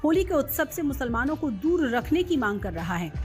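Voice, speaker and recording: A woman's voice: sits high, very animated, fairly quick, a little mellow. A woman reporter reading a scripted news voice-over. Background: music bed.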